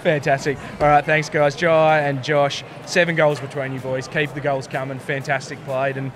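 An Australian man co-hosting a post-game podcast, asking upbeat questions at a boom mic. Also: little pitch movement, moderate pace. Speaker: podcast co-host. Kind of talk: casual post-game interview. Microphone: boom mic on arm, headphones. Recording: noisy busy room.

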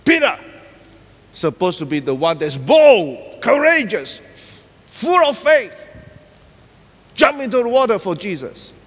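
A man's voice: high-pitched, big pitch swings, slow, pauses often, fairly bright tone. A middle-aged man preaching a sermon as pastor, emphatic and repeating key words as he paces the stage. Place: large church stage decorated for Christmas. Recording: long hall reverb.

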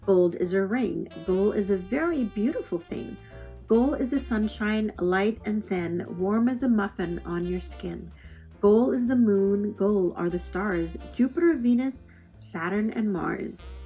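A woman reading poetry aloud for children in an expressive style, with measured, deliberate phrasing. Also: mid-range voice. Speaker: read-aloud host. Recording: music playing under the voice. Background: plucked guitar music.

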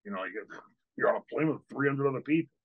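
A man's voice: medium pitch, quick pace, breathy edge, narrow, tinny audio. An older man, host and former wrestler, telling a candid story on a video call from a hotel room, with many fillers and restarts. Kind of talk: storytelling in an interview. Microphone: video call, webcam.

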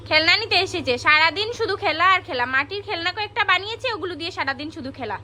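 A woman's voice: extremely high pitch, lively intonation, racing, pushed and bright, a little hiss or hum, heavily acted. A woman voicing a stern village mother doll in a homemade cartoon, scolding and giving orders. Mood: cross, scolding. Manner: scolding, commanding.

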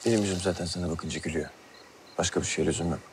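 An actor playing an earnest, restless young man who talks fast in slang, outdoors at night. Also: low voice, steady tone, a little mellow, a little hiss or hum. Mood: tender. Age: young adult. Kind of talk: TV series acting. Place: outdoors at night, town square. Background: score music.

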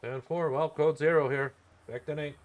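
A man's voice: fairly high pitch, fairly even intonation, moderate pace, phone-quality sound. A man streaming a roleplay game as a helicopter paramedic, chatting casually in blunt, sweary radio talk with codes.

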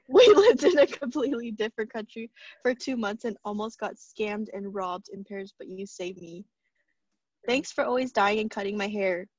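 A woman's voice: mid-range voice, normal speed, breathy edge, no echo. A young woman casually reading tributes aloud over a video call. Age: young adult. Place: indoor room at home on a video call. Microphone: video call laptop mic. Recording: dull, lo-fi video call audio.

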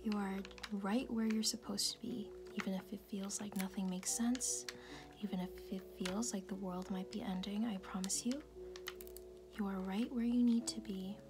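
Woman, breathy whisper, mid-pitched when voiced, intimate ASMR affirmations, reassuring, background music. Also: fairly even intonation, normal speed. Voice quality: soft, breathy whisper, often unvoiced. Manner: tender, reassuring, intimate, addressing the listener directly. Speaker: ASMR roleplay performer. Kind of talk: ASMR personal-attention roleplay, comforting affirmations. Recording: music playing behind the voice.

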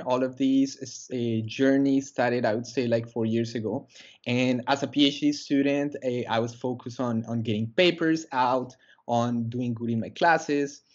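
A man's voice: mid-range voice, lo-fi sound. A young man from Colombia, a guest on a video-call interview, talking earnestly with many fillers and restarts. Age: young adult. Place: small room indoors. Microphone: headset on a video call.

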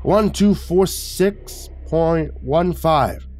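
Chatty retired handyman, acted out by a man, animated pitch. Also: drawn-out words, slight background noise. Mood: gossipy, rambling. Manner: rambling, conversational, snorting amusement. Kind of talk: dramatised horror storytelling.

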